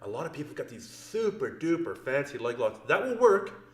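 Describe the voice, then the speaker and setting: A man's voice: mid-range voice, sing-song, fairly quick, roomy sound. Casual joking man teaching grappling. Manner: casual, joking, explains step by step. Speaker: grappling instructor. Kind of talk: instructional demonstration. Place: training mat room.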